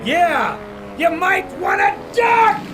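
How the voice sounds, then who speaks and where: A man's voice: extremely high pitch, sing-song, takes it slowly, exaggerated voice. A man playing a cocky, combative, swaggering pilot in a 1930s-style radio adventure serial. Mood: cocky, excited. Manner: swaggering, folksy, shouting in action. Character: cocky daredevil male pilot, brash and combative. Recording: loud aircraft noise behind the voice.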